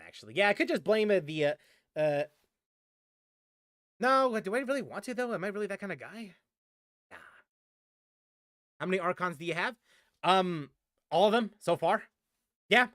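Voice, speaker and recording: High-voiced rambling gaming streamer man. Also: fairly bright tone, no echo, clean studio sound. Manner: rambling, many restarts and fillers, frustrated. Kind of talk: casual stream commentary.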